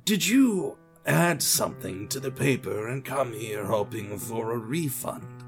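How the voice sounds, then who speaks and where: Radio drama part: male actor as old front-desk man, courteous and mild, very unhurried delivery, music underneath. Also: mid-range voice, animated. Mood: mild, puzzled. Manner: slow, polite, unhurried. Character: rather old male newspaper receptionist, polite and mild.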